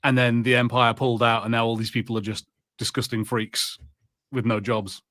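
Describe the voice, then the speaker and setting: A man's voice: medium pitch, level tone, normal speed, fairly bright tone, no echo, clean studio sound. A man co-hosting a podcast, giving his take in casual chat with a blunt, dismissive, informal manner. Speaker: podcast co-host.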